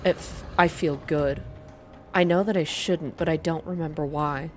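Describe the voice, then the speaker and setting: A woman reading first-person story narration aloud in a soft, hushed, measured ASMR style. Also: sits low, fairly even intonation, slight background noise. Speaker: narrator reading a visual novel game aloud.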